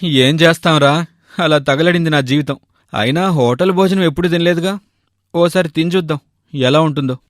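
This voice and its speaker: A man's voice: on the high side, moderate pace, pauses often, dry recording, studio quality, natural voice. A man voicing a fussy, food-loving bachelor shopkeeper in a story, bossy and given to complaining. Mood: grumbling.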